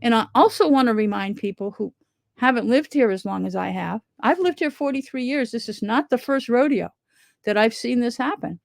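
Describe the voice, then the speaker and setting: A woman's voice: on the high side, normal speed, creaky, no echo, studio quality. A middle-aged woman talking casually to camera from home about a town petition, earnest and rambling, often restarting her sentences. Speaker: local resident promoting a town petition. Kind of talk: casual direct-to-camera talk.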